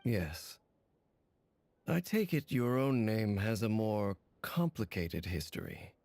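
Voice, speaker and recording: An actor playing a brooding, guarded, long-lived wanderer in a video game, with a low gravelly voice and a measured, grave manner. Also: male voice, very animated, a little mellow, no echo, heavily acted.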